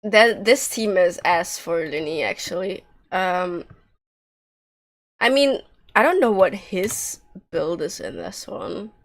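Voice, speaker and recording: A woman giving casual, expressive live commentary as she streams a game, reacting aloud with slangy, sweary talk. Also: on the low side, slow.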